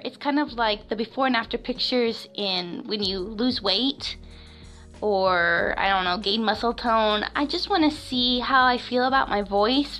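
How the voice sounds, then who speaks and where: A young woman in her twenties with a high, girlish voice hosts a casual solo podcast. She speaks haltingly, with frequent fillers and nervous little laughs. Faint music runs quietly underneath. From West Florida, United States. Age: 20s. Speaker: podcast host. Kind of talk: casual personal podcast monologue.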